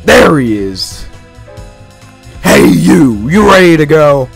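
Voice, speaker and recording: A man voicing a boastful TV wrestler spoiling for a fight in a dramatic reading of game dialogue, loud and bombastic. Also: on the high side, big pitch swings, vocal fry, heavily acted. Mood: boastful challenge. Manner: loud, bombastic, drawn-out challenges. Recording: game music behind the voice.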